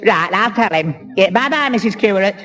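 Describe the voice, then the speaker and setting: A gormless young Northern English man, with a high voice that swoops about. He is muddled and stammering but good-natured, in the manner of 1960s radio comedy. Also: lively intonation, moderate pace, music in the background. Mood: flustered, confused. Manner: gormless, stammering, confused, giggly. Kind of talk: 1960s radio sitcom acting.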